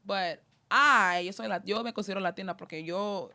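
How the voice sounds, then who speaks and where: A woman's voice: mid-range voice, brisk, bright, forceful tone. A woman from Honduras, hosting a casual chat, talking animatedly and full of fillers. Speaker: podcast host.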